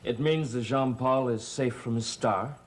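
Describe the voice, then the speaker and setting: A man's voice: medium pitch, a little slow, natural voice. An actor playing a grave, decisive man in a vintage gothic television soap. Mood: grave, probing. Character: grave, decisive man confronting a family curse. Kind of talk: 1960s-70s television soap melodrama. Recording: old TV soundtrack with music behind.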